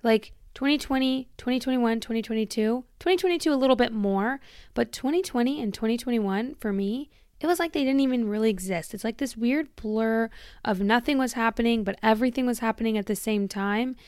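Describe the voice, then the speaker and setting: A woman's voice: on the high side, brisk, a little mellow, clean tone, no room sound, clean studio sound. A woman hosting her own solo podcast, talking candidly and casually with lots of "like" and self-interruptions, a little tired as she recovers from illness. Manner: chatty and candid, heavy use of "like", self-interrupting. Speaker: podcast host.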